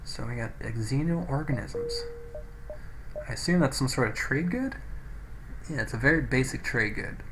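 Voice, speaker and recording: A man's voice: medium pitch, big pitch swings, normal speed, long pauses. Man on a noisy, poor mic. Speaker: gameplay video host. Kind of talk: casual game commentary, reading in-game text aloud. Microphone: low-quality microphone.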